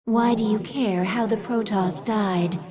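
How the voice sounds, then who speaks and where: Woman doing a slow formal alien helper creature, polite to her queen, music behind. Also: medium pitch, fairly even intonation, lightly acted. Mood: measured. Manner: formal, measured, deferential. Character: female alien adviser to a queen, formal and loyal.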